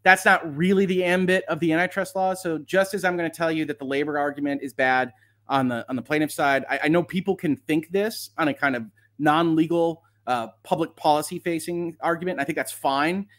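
A man's voice: a little high, steady tone, a bit bright. A man hosting live legal commentary, reading points aloud and reacting off the cuff in an analytical, lawyerly way. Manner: analytical, measured hedging, lawyerly vocabulary.

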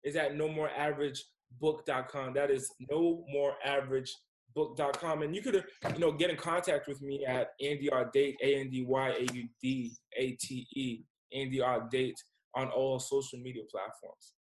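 A man's voice: mid-range voice, steady tone, normal speed, echoey room, a little hiss or hum, low-quality recording. A man in his twenties, a guest entrepreneur, talking in a casual, emphatic, motivational way. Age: 20s. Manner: emphatic, motivational, repeats himself. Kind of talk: casual interview chat.

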